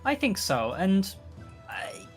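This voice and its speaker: A man's voice: high voice, huge pitch swings, takes it slowly. A man chatting casually as a tabletop game player, hesitantly piecing ideas together aloud. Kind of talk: casual chat. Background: faint music.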